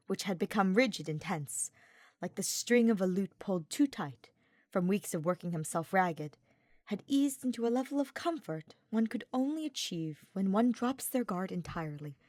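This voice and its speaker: A woman's voice: medium pitch, darkish tone, no echo. Woman narrating fiction in a dry recording. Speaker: audiobook-style reader of fan fiction. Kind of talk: scripted story narration.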